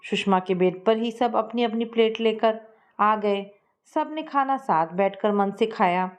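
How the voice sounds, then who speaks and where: Woman, storyteller, fairly high voice, steady tender scripted narration. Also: a little slow.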